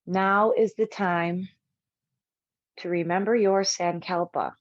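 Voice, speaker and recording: A woman instructor leading a guided yoga relaxation, speaking in measured, soothing short phrases with long pauses. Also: on the low side, steady tone, no echo, lo-fi sound.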